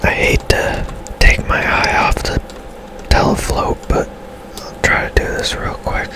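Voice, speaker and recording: Slow, whispering man doing gaming ASMR. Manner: hushed, unhurried, musing aloud. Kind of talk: whispered ASMR game commentary.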